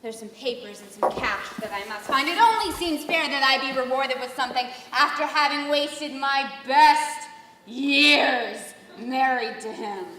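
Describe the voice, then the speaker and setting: A woman's voice: sits high, unhurried, sharp tone, slight background noise. An actress playing a greedy, scheming stepmother in a stage play, with a scornful delivery. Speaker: stage actor. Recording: echoey hall from far off.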